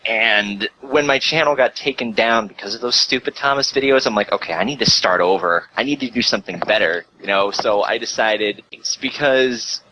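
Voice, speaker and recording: Guy telling stories on a podcast, bright sharp voice, bit of hiss on the call. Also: fairly low pitch, normal speed, hardly any pauses, low-quality recording. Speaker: guest content creator and reviewer. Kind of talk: casual podcast chat. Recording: hissy amateur online call audio.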